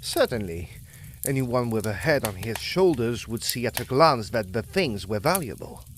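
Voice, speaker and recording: An actor playing an agitated, proud gentleman explorer of old, speaking emphatically in formal, old-fashioned phrases in a gothic audio drama. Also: mid-range voice, sing-song, normal speed, a little hiss or hum, close to an everyday voice. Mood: indignant.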